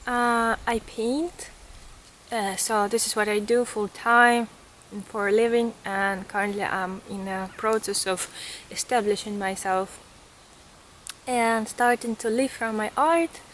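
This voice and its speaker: A woman's voice: fairly high pitch, fairly even intonation, unhurried, fairly bright tone, slight background noise, rough recording. A woman in her thirties with a Ukrainian accent, talking in an earnest, reflective way to camera as a vlogger. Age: 30s. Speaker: vlogger and artist. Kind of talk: casual reflective vlog talk to camera. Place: outdoors in a forest.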